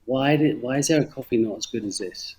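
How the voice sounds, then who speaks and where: A middle-aged man with a London English accent tells his own story reflectively in an interview, halting and repeating phrases for emphasis. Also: mid-range voice, a little mellow, slightly rough. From London, England. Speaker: interview guest, former banker turned coffee farmer. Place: remote video call from Indonesia.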